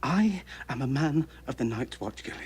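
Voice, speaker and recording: An actor playing an earnest, timid young man who holds to his sworn promise, speaking gently but firmly. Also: medium pitch, lively intonation, moderate pace, husky. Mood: resolute. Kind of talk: TV drama dialogue. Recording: noisy TV clip played back.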